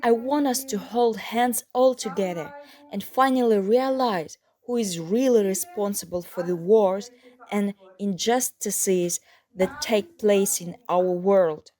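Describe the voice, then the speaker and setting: A woman reading translated statements as a voice-over, in a steady, earnest manner. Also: mid-range voice, slow pace. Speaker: voice-over interpreter.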